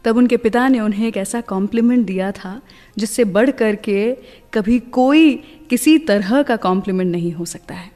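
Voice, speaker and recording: Female radio host, warm and relaxed, narrates anecdotes conversationally; reverberant mix over soft music. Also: a little high, moderate pace. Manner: chatty storytelling, mixes in English words.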